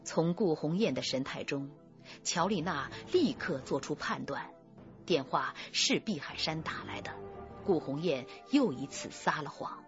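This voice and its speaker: A woman's voice: low-pitched, animated, a little slow, highs cut off, faint music behind. A woman reading scripted narration for a radio drama.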